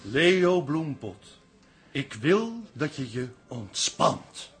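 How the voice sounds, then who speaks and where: A slow, swooping hypnotist professor. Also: male voice, fairly high pitch, lively intonation, a bit bright, slightly rough, soft background music, full character voice. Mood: solemn, soothing. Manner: slow, deliberate, incantatory. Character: solemn male professor playing hypnotist, patient but commanding. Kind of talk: comedic radio drama acting.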